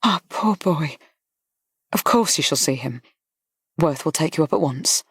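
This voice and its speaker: A narrator voicing a genteel, kind-hearted lady in an audiobook, speaking politely and gently. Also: mid-range voice, expressive, unhurried, slightly rough, no echo, studio quality, exaggerated voice. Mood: doubtful, sympathetic. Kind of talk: audiobook character dialogue.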